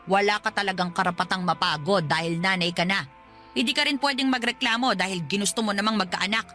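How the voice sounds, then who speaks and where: A woman's voice: mid-range voice, quick pace, sharp tone, faint music behind, natural voice. An actress playing a cruel, haughty older mother-in-law in a Filipino radio drama, scolding with sarcasm and contempt. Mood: accusing, contemptuous.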